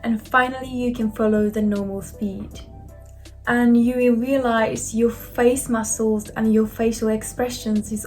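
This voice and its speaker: Higher-pitched young Japanese-accented woman. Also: darkish tone. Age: 20s. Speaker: language vlogger. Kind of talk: scripted explainer to camera.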